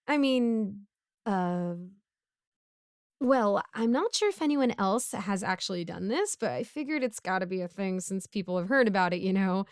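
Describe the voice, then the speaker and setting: A woman's voice: medium pitch, lively intonation, moderate pace, smooth, no room sound, clean studio sound, natural voice. A woman playing a broke, bumbling, chatty supervillain neighbor in a casual audio roleplay, rambling awkwardly as she pleads and justifies herself. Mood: sheepish, chatty.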